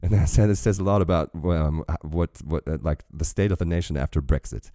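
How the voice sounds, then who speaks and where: A man with an extremely deep, dark voice hosts a casual reaction show, rambling through chatty asides. His voice is recorded close and dry. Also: steady tone, brisk, no room sound. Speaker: reaction channel host.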